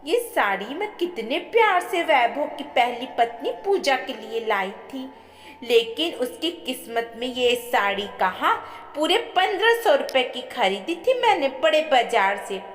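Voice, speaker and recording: A woman's voice: high voice, expressive, measured pace, soft background music. A woman storyteller voicing a tactless, boastful older aunt-in-law who complains pointedly. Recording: echoey with long reverb.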